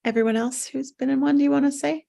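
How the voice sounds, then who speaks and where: A woman with a slightly high voice and plenty of creak hosts an informal group chat. She speaks casually and bluntly. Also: steady tone, quick pace, warm tone. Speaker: host of table read.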